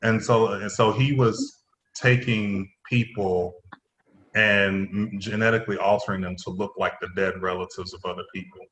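A man's voice: lowish voice, fairly even intonation, normal speed, a bit bright. A man, an actor, chatting casually and asking questions about a play. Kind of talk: casual chat. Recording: roomy echo.

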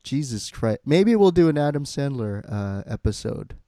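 A man hosting a podcast in casual, halting chat peppered with profanity. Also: mid-range voice, sing-song, mellow, no echo, clean studio sound. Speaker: podcast host.

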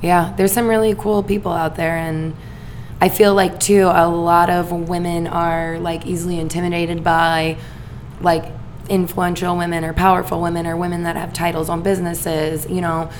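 A woman with a raspy voice co-hosting a podcast, chatting casually and filling her speech with "like" and "um". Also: fairly low pitch, flat delivery, normal speed, a little hiss or hum. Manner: chatty, filler-heavy with lots of "like". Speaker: podcast co-host.

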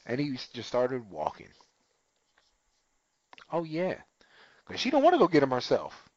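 A man in a casual chat, recapping a TV episode with animated storytelling and acted-out lines. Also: medium pitch, measured pace, dry recording, muffled recording. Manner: animated retelling, acting out characters' lines. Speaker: TV recap podcaster.

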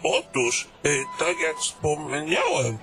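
A fussy old mapmaker from a fantasy radio play, acted by a man, chatters on in a fairly high voice. He speaks very slowly, hesitating, stammering and muttering to himself, with music clearly playing underneath. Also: sing-song. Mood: chatty, explaining. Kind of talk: fantasy audio drama acting.

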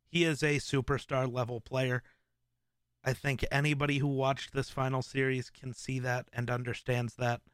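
Male streamer host, smooth and clear, casual rambling delivery with fillers. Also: mid-range voice, normal speed, no room sound, clean studio sound. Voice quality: relaxed, smooth voice. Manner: rambling, with many fillers and restarts. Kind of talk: casual live-stream chat.

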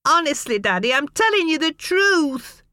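A woman's voice: lively intonation, measured pace, fairly bright tone, no echo, clean studio sound. A woman voicing a young boy who pleads tearfully with his parents. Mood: pleading. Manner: pleading, high childish voice.

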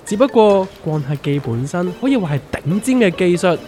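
A wry, teasing, well-informed investor friend in a comic radio drama, acted by a man. The Hong Kong Cantonese delivery swings widely in pitch, over light music. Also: big pitch swings, normal speed, a little mellow. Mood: wry explaining. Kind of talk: scripted comedic dialogue. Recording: music under the voice.

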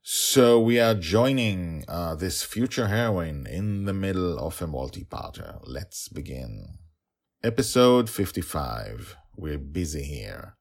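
A man hosting and narrating a story podcast, moving between conversational talk and scripted reading with deliberate, drawn-out announcements. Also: sits low, very animated. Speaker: podcast host and author-narrator.

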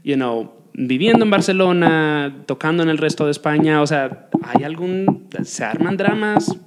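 A male radio host asking slow, searching questions full of fillers in a casual interview. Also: a little high, expressive. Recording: noisy.

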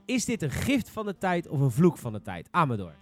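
Brisk Netherlandic Dutch man hosting a podcast. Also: a little high, wildly swooping pitch, a bit bright, soft background music. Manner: informal, swearing, argumentative. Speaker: podcast host. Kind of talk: casual opinionated podcast discussion.

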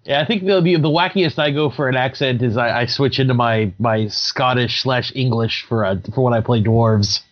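A man's voice: mid-range voice, normal speed, few pauses, dry recording. A man in his thirties, a game master, chatting casually and animatedly, sometimes slipping into voices. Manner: animated, slips into character voices. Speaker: podcast co-host and game master. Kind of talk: casual chat. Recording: dry, dull lo-fi sound.

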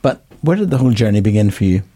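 A man hosting a conversational radio interview in a studio, with a husky voice. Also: fairly low pitch, big pitch swings, very fast, mellow, no echo. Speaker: radio host.

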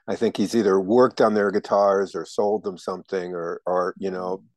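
A male podcast host who welcomes his guest effusively, often stumbling over and repeating his words. His voice is lowish and sounds dry and close, with no room around it. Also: level tone, moderate pace, a little mellow, dry recording. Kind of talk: casual podcast chat.

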